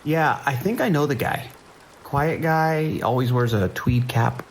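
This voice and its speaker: Kindly small-station deputy played by a man: reassuring, unhurried, mid-pitched with some fry, light noise behind. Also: lightly acted. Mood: concerned, gentle. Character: calm, kindly male sheriff's deputy dispatcher on night shift. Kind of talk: naturalistic audio drama acting.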